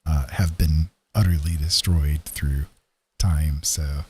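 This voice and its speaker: A man chatting casually with live stream viewers in an easygoing, rambling way, halting with frequent pauses. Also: rumbling low, fairly even intonation, a little mellow, husky. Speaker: live streamer, digital painter and storyteller.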